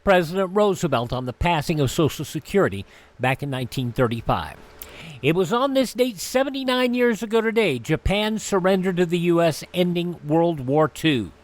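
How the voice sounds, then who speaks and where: Man, radio host, slightly high voice, conversational, halting rambling delivery. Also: animated. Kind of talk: conversational hosting of a classic radio program.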